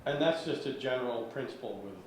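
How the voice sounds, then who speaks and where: A man talks casually as a participant in a hands-on training session. He is far from the microphone in a strongly reverberant room, with clear noise around his voice. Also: mid-range voice, quick pace. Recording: very echoey, distant mic, noisy room.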